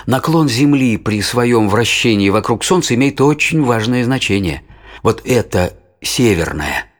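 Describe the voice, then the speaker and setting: An actor playing a patient father who explains science in a measured, didactic way in an educational audio play. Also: medium pitch, lively intonation, lightly acted.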